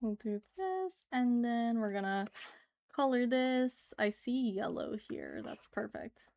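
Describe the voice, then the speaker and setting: A woman's voice: on the high side, huge pitch swings, slow, gravelly, a little mellow. A woman livestreaming as she draws, chatting casually and giggling as she answers her chat. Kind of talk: casual chat while drawing on stream.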